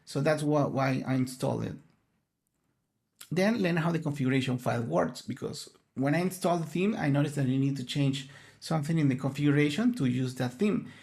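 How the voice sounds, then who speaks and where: Male conference presenter, Spanish native, raspy fry, slightly high pitch, earnest and a little halting. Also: a little mellow. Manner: earnest, explanatory, searching for words. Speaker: conference speaker.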